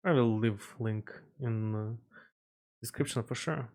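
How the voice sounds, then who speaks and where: A man who is not a native English speaker, an indie game developer talking through his game in a casual devlog voice-over, halting often with fillers and restarts. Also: fairly low pitch, creaky, darkish tone.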